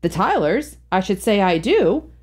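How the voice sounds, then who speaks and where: A woman's voice: mid-range voice, very animated, measured pace, darkish tone. A polite, reserved young man, read by a woman in a storybook narration. He speaks courteously and evenly. Nothing showy.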